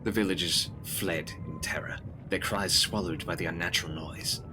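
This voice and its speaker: A man's voice: low-pitched, fairly even intonation, moderate pace, background noise. A man delivering scripted, dramatic narration of a gothic horror story.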